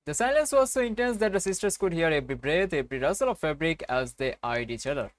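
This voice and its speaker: Man, fairly high voice, visual novel narration, casual playthrough commentary. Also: expressive, fast talker, no echo. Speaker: game playthrough host.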